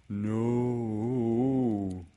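A man's voice: medium pitch, steady tone, extremely slow, warm tone, lo-fi sound, voice pushed up. A man voicing an earnest, simple, eager toy bear in a children's storybook, with a hesitant manner.

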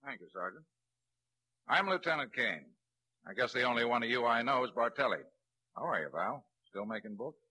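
An actor playing a shrewd police lieutenant in a crime drama, a probing interrogator who can sound falsely kindly. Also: male voice, mid-range voice, animated, normal speed, long pauses, sharp tone, a little hiss or hum, rough recording. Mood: calm authority. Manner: probing, soothing then blunt. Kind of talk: dramatic film-noir crime acting.

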